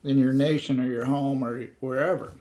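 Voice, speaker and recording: A man's voice: mid-range voice, steady tone, normal speed, dark tone, low-quality recording. A man speaking as a podcast panelist, musing hesitantly and trailing off as he thinks aloud. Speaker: panelist, pilot. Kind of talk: casual panel chat.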